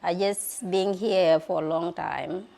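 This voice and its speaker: A woman's voice: lowish voice, steady tone, slow, vocal fry, mellow. A middle-aged woman from Sudan, speaking plainly about her children and community. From South Sudan.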